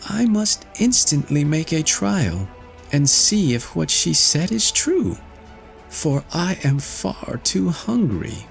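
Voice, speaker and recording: A man's voice: fairly high pitch, sing-song, takes it slowly, creaky, faint music behind, voice pushed up, full character voice. A man voicing a meek, hungry young girl who pleads in a plaintive voice, in a read-aloud fairy tale. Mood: eager.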